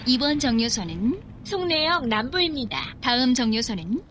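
A woman reading scripted transit announcements as a bus stop announcer, in a formal, measured way. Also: high voice, very animated. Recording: noisy recording inside a moving bus. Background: vehicle noise.

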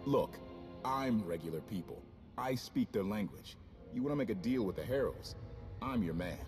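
An actor playing a confident, easygoing ex-officer rogue in a video game, casual and folksy. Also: male voice, fairly low pitch, expressive, normal speed, over music, lightly acted. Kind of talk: video game cutscene acting.

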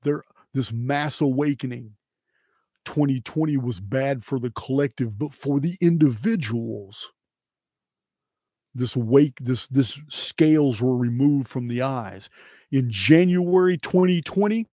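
A middle-aged man with an American accent presenting solo, emphatically and deliberately, in short phrases with pauses, close to the microphone. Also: medium pitch, mellow, no room sound. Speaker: video host and presenter. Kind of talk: solo presentation to camera, dramatic commentary. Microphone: close microphone on boom arm.

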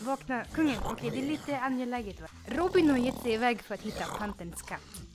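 Woman as a high, nagging little cartoon bird. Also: lively intonation, lightly acted. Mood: urgent. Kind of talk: cartoon fan dub. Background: music.

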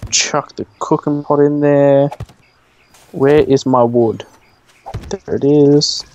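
A man's voice: mid-range voice, fairly even intonation, takes it slowly, darkish tone, highs cut off. A young man with an Australian accent, chatting casually and joking while playing a co-op game. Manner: joking, laughs often. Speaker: gamer. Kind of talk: casual co-op gaming chat.

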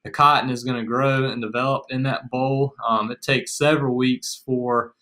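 A man's voice: mid-range voice, little pitch movement, normal speed, sharp tone. A young man with an American accent, explaining things casually as an educational co-presenter. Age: young adult. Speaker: agriculture extension agent, co-presenter. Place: small room at home, indoors.

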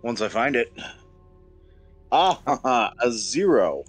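A man's voice: medium pitch, big pitch swings, slow pace, slightly rough, faint music behind. A man chatting casually as a player in a tabletop roleplaying game. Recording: faint background noise.

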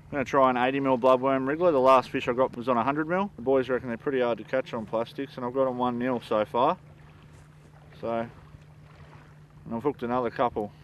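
A man hosting a fishing show outdoors on tidal flats, chatting casually and upbeat about his catch. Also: medium pitch, a little fast. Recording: distant, dull outdoor sound with light noise.

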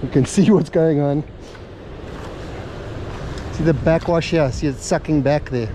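A man with a somewhat high voice talks loosely while he walks a rocky shore, pausing often and filling gaps with ums. His body-worn camera picks up strong wind and surf behind him. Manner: relaxed, halting, many fillers. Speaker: fishing vlogger. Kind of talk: casual walking commentary. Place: outdoors on a rocky beach by the surf. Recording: outdoor camera audio with wind and surf noise. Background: crashing waves and wind.

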